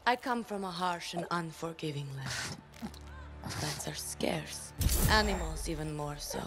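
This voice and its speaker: A woman voicing a regal, menacing crime lord in a video game, formal and deliberate. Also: mid-range voice. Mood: proud, solemn. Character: imperious, menacing female crime lord princess.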